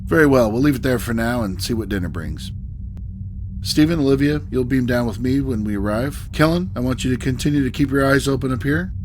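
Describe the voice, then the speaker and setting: Starship captain, man acting, mid-pitched, calm authority, measured orders, sci-fi audio drama over clear ambient noise. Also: close to an everyday voice. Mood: brisk, authoritative.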